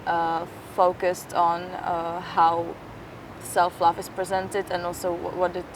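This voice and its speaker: A woman's voice: fairly low pitch, completely flat delivery, takes it slowly. A young woman in a casual interview, hesitating as she searches for her words. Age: young adult. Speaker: youth theatre project participant. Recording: distant mic, faint traffic-like noise.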